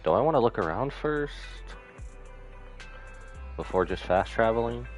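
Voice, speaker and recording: A man's voice: medium pitch, animated, a little slow, faint music behind. A man streaming a game live, casually commenting, reading dialogue aloud and muttering asides to himself.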